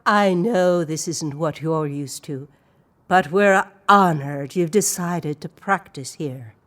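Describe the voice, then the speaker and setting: A woman playing a battle-scarred, protective cat who leads her village, speaking in a grand, measured, declamatory way in an audio drama. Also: low voice, a bit bright. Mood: solemn, honoring.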